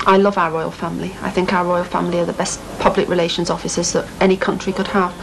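A middle-aged woman with a Lancashire accent, speaking in a measured, thoughtful way in an interview. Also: on the low side, flat delivery, creaky, background noise. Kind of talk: reflective interview talk. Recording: 1980s TV film with music behind.

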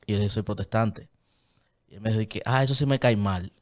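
A man's voice: fairly low pitch, fairly quick. A man in his thirties with a Dominican Spanish accent, talking earnestly as a guest in a small padded radio studio into a desk microphone. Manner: earnest, gestures a lot while talking. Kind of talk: casual studio chat. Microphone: studio mic on a desk stand, headphones on.

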